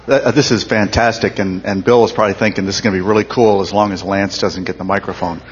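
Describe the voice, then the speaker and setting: Low-voiced man, hesitant church sharing. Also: fairly even intonation, fast, big-room sound, dull audio, slight background noise. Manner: friendly, hesitant, many fillers. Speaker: speaker in a church group.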